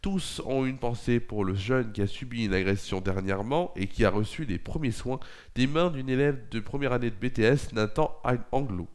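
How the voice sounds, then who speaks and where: A man's voice: mid-range voice, normal speed. A young man presenting a local news and weather bulletin with a stuffy nose from a cold, often hesitating and correcting himself. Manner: hesitant, frequent fillers and self-corrections.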